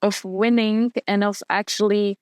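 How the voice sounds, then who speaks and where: A young woman answers interview questions in a smooth, clear voice recorded dry and close. She talks at an average pitch and often stops to restart her sentences. Her delivery is hesitant and full of fillers. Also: little pitch movement, unhurried, a little mellow, no echo. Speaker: guest, wing foil and kiteboard athlete.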